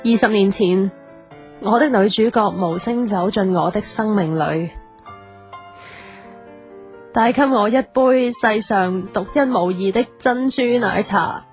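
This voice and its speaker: An actress playing a young woman with a Hong Kong Cantonese accent. She speaks slowly in an audio drama, at a medium pitch. Music plays softly behind her. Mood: tender, earnest.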